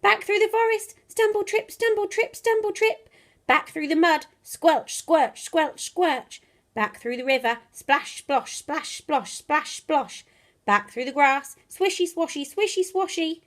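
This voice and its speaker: A woman with a high voice reads a picture book aloud for young children. Her delivery is very unhurried, with drawn-out words and animated expression, like a teacher telling a bedtime story. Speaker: primary school teacher reading a bedtime story.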